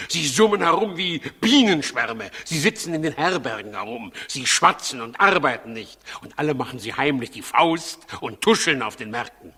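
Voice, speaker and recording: A hard, forceful voice in a long reverb: an irritable, suspicious old-world king in a 1960s radio play. He is theatrical and imperious, swinging between commanding outbursts and bitter muttering. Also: medium pitch, full character voice. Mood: gloomy dread. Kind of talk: 1960s German radio drama acting.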